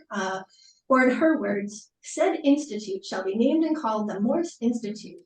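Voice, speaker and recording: A soft-spoken woman presenting as a library director, with frequent ums, over a video call. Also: a little high. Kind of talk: community presentation. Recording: echoey room, far from mic.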